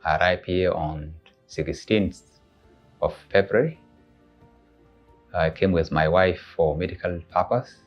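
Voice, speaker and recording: A man from South Sudan with a South Sudanese accent, giving a formal, halting testimonial about a relative's care. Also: low voice. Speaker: patient's husband giving a testimonial. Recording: dull audio under background music.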